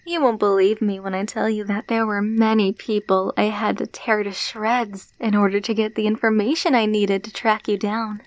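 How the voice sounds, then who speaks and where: A woman's voice: medium pitch, level tone, moderate pace, few pauses, no echo, natural voice. A woman playing a menacing, possessive female alpha werewolf, teasing and domineering in an ASMR roleplay, dropping into whispers. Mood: cold menace.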